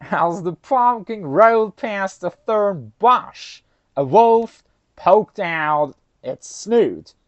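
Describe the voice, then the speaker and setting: Slow, lively storyteller, slightly muffled. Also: medium pitch. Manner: halting, self-conscious English in chat. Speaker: storyteller and co-host. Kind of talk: casual chat and folktale narration.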